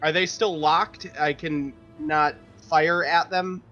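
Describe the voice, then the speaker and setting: A man playing a tabletop game, thinking aloud haltingly in casual chat, on slightly lo-fi audio with music behind. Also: on the high side, fairly even intonation, bright, forceful tone. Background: background music.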